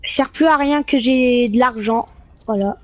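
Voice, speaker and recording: Sex: woman. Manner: chatty, high voice, roleplaying. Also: moderate pace, muffled recording. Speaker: roleplay player. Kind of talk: improvised game roleplay. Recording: clear background noise.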